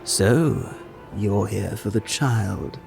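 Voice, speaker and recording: A man's voice: fairly low pitch, very slow, creaky, music in the background, full character voice. A man playing a vain, offhand god in a fantasy audio drama, with a flippant drawl. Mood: bored, offhand. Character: vain, offhand male god, needling and self-regarding.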